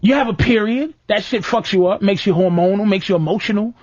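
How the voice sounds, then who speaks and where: A man's voice: high-pitched, fast, a little mellow, dry recording, dull audio. A man hosting a radio show, ranting comically and profanely about relationships and mimicking his girlfriend in whiny voices. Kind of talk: comedic relationship rant.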